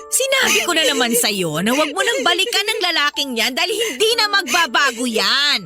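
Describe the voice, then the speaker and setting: A woman's voice: high voice, big pitch swings, moderate pace, over loud music. A woman playing an exasperated older Filipino mother, nagging and reproachful in a melodrama. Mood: exasperated, scolding.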